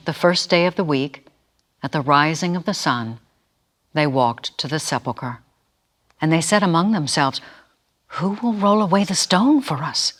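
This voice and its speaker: A narrator giving an expressive, dramatic reading of a scripture story. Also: mid-range voice, lightly acted. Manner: expressive, acts out quoted speakers.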